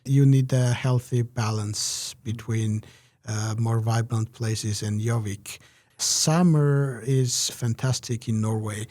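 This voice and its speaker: Man answering interview questions slowly, lots of uhs, flat mellow voice, dry room. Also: medium pitch, no echo. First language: Georgian. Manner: measured, many "uh" pauses. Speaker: interview guest, researcher.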